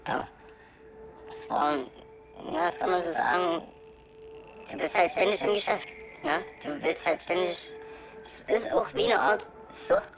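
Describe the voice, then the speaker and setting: A woman talking casually and candidly as an interviewee, colloquial and halting, often adding little tag questions. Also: lowish voice, vocal fry. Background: music.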